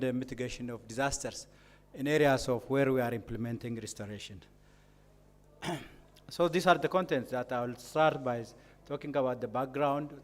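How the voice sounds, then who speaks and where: A man with an Ethiopian accent giving a formal conference presentation. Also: medium pitch. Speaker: conference presenter, forestry official.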